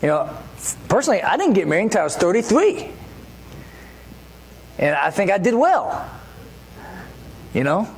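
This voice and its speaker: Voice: medium pitch, big pitch swings, normal speed, long pauses, a little hiss or hum, rough recording. A preacher giving a church sermon in a conversational way, often asking the listeners rhetorical questions.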